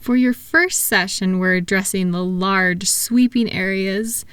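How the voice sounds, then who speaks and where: A soft-spoken woman with a mid-pitched voice performs a soothing ASMR roleplay. She talks gently and without hurry, like a caring practitioner with a client. The sound is close and dry. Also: no room sound. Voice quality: soft, gentle, breathy at times. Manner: soothing, reassuring, unhurried, asking gentle questions. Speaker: audio roleplay performer playing a thought-calming practitioner.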